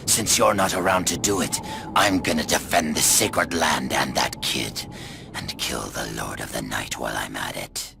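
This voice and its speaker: An actor playing a hardened, world-weary male warrior in a game cutscene, gravelly, cynical and brooding, often close to a whisper. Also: a bass voice, heavy background noise. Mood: grieving, resolved. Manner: slow, brooding delivery, often dropping near a whisper. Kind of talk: dramatic video game cutscene acting and narration.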